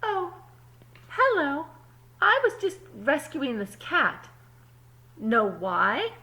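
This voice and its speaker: A woman voicing an earnest, dutiful little egg in a children's storybook, telling its own tale with drawn-out emphasis. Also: medium pitch, sharp tone, heavily acted. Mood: proud, cheery. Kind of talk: first-person children's storybook narration.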